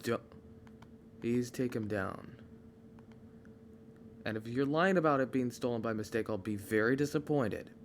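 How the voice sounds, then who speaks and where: A man giving a casual, unscripted channel announcement as a video creator, halting and rambling as he goes, on a microphone with no pop filter. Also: mid-range voice, slight background noise. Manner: rambling, halting, often losing his train of thought. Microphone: microphone without pop filter.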